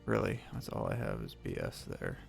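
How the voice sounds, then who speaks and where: A man's voice: extremely low pitch, huge pitch swings, moderate pace, creaky, soft background music. A man streaming a video game live, chatting casually with viewers, rambling with lots of fillers as he loots and sorts gear.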